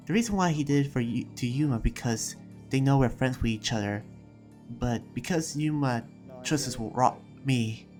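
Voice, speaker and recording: A roleplayer voicing an earnest, troubled demon slayer, telling events in a halting, reflective recap with restarts. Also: mid-range voice, natural voice. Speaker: roleplayer and video creator. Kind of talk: in-character recap narration and improvised roleplay. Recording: music under the voice. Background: music.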